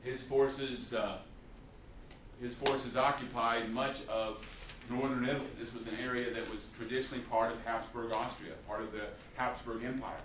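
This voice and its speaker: A man's voice: mid-range voice, fairly even intonation, moderate pace, noisy background. A man giving an unscripted history lecture as a teacher, telling it like a story, with many fillers and restarts. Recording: echoey room, mic at a distance.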